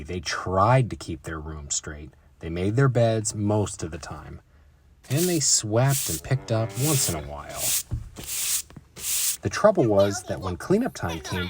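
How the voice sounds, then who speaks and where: A man reading a children's story aloud in an animated storytelling style. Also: on the low side, noisy background. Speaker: children's book reader and channel host.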